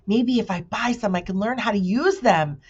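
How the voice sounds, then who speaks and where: A woman's voice: medium pitch, normal speed, darkish tone, slightly rough, voice pushed up, natural voice. A woman voicing an eager, curious and polite little boy in a storybook, in a dull recording.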